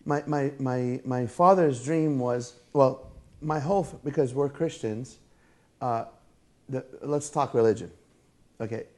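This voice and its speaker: A man's voice: mid-range voice, a little slow, darkish tone. A man from Syria chatting casually as an interview guest, talkative and jokey, often repeating his phrases. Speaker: guest, fair fried-food vendor. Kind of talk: casual interview chat, storytelling.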